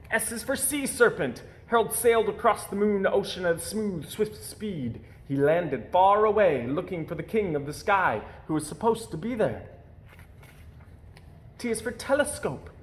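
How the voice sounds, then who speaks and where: Animated higher-voiced man reading a storybook. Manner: theatrical emphasis on key words. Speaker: reader of a children's picture book. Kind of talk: expressive read-aloud storytelling.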